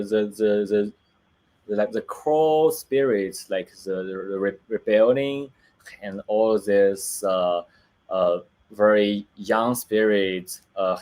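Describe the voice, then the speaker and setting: A film director with a Chinese accent, speaking English as a second language, who talks haltingly on a video call, restarting and searching for words. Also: male voice, fairly low pitch, darkish tone. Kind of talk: remote interview.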